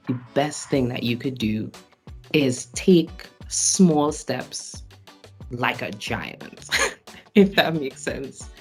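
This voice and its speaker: A Trinidadian man in his late twenties, a stage actor and singer, reflecting on his experiences in a casual interview and laughing often between phrases. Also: mid-range voice. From Trinidad and Tobago. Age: late 20s.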